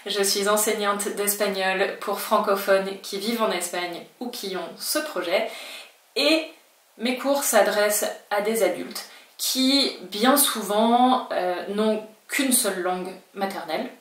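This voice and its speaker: A French-speaking woman explains to camera in a chatty, reassuring way, sometimes voicing her students' doubts. Her voice sits at a middle pitch. She sounds a little distant from the mic, in a room with a noticeable echo. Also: a little slow. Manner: chatty, reassuring, acts out learners' worries in quotes. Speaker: Spanish teacher. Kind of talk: talking-head language lesson.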